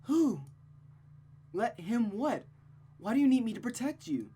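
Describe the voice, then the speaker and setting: Woman playing an anxious, high-voiced young woman. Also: big pitch swings, unhurried, mellow, slightly rough, heavily acted. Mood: alarmed, urgent. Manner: worried, urgent. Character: anxious, caring young woman, pleading with her friend.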